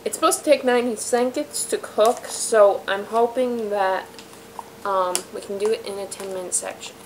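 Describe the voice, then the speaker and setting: A woman hosting her home web show in a rambling, self-deprecating monologue, her voice raspy from being sick. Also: medium pitch, moderate pace, slight background noise, rough recording. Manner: chatty, self-deprecating, many fillers and trailing asides. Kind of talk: rambling casual monologue to viewers. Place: room in a house.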